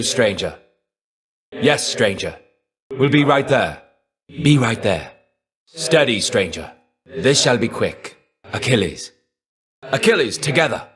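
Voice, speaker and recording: An actor playing the shade of a fallen Greek warrior in a video game, wry, reserved and melancholy, with a measured, understated delivery. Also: male voice, mid-range voice, a bit bright. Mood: resolute. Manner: measured, understated, wistful, trailing off at phrase ends. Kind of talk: video game character voice lines.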